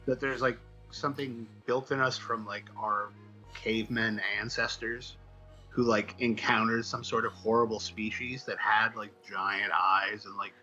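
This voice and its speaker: A man's voice: lowish voice, flat delivery, a little slow, sharp tone, highs cut off, faint music behind. A man chatting casually as an interview guest, telling things in a rambling, wry, informal way. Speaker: guest comics writer.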